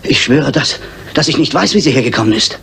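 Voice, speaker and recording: An actor playing a frightened, pleading suspect, halting and anxious, in a German radio crime drama. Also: male voice, medium pitch, expressive, vocal fry, sharp tone, a little hiss or hum. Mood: fearful, pleading. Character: frightened, pleading Frenchman under suspicion.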